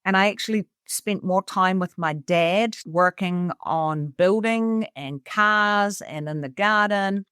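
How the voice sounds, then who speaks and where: A woman's voice: fairly low pitch, a bit bright, clean tone, no room sound. A middle-aged woman from New Zealand speaks with her native accent in a clean, resonant voice. She talks conversationally as an interview guest, and the sound is dry and close. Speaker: interview guest, cabin resort owner. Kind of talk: conversational personal storytelling.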